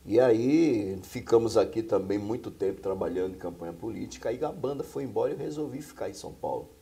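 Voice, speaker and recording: Deep gravelly middle-aged pastor. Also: male voice, a little fast, warm tone. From Bahia, Brazil. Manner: earnest storytelling with frequent tag questions. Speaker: pastor and singer, guest. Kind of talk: telling his life story in a studio interview. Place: small TV studio.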